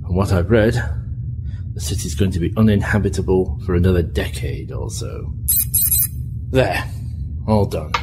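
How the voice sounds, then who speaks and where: Low-voiced, firm ship's doctor acted by a man. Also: lightly acted. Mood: grave, patient. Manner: measured, admonishing, clinical. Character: starship doctor, patient but firm, scolding man. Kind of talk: audio drama acting. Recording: clearly noisy recording with background ambience.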